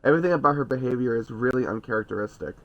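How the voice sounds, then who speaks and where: A man's voice: medium pitch, very fast, highs cut off. Stuffy-nosed man reading a game aloud. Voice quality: nasal. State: stuffy nose, scratchy throat. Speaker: game streamer. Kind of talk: reading visual novel aloud.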